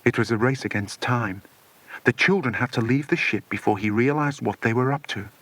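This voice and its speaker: A man reading a children's adventure story as a dramatic, expressive audiobook narrator. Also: mid-range voice, normal speed, no echo.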